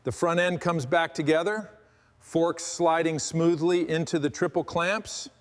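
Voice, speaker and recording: A man hosting and narrating a restoration in a scripted, calm workshop commentary style. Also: on the high side, measured pace. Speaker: host narrating a motorcycle restoration. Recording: long hall-like reverb.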